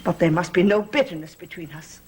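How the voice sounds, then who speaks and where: An actress playing a devoted, scheming manor servant with a solemn, formal, submissive way of speaking, in a gothic soap. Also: female voice, on the low side, brisk, darkish tone, slight background noise, lightly acted. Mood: contrite. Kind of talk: 1960s gothic soap opera acting. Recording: old TV soundtrack with background score.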